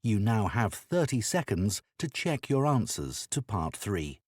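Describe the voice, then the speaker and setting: A man reading scripted exam instructions as an announcer in a formal, measured manner. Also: lowish voice, very animated, no room sound, clean studio sound.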